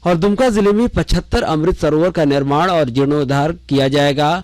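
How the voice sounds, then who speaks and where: A man reading a scripted radio news bulletin in a measured, formal way. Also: fairly high pitch, a little mellow, dry recording. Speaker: news reader. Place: radio studio.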